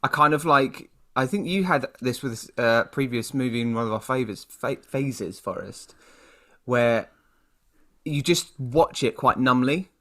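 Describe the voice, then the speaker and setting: A man's voice: mid-range voice, animated, measured pace, sharp tone. A British man co-hosting a podcast, chatting casually in a rambling, self-deprecating way. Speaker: podcast co-host. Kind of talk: casual chat.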